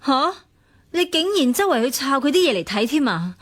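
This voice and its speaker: A woman's voice: high-pitched, sing-song, brisk, fairly bright tone, full character voice. An actress playing a sassy, worldly young woman who scolds her friend, in a radio drama with a Hong Kong Cantonese accent. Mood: alarmed, scolding.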